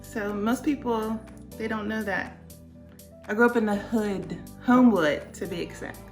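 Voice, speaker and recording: A woman from Pittsburgh in her thirties, co-hosting a casual couple Q&A and telling stories with lively, laughing energy. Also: mid-range voice, unhurried. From Pittsburgh, Pennsylvania, USA. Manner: animated storytelling with frequent laughs. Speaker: co-host, life coach. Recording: echoey room with loud music.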